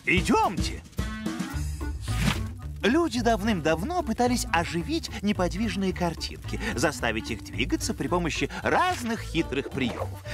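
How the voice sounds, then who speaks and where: An actor voicing a learned, theatrical mentor in an educational cartoon, with a pompous and enthusiastic manner. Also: medium pitch. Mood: explaining.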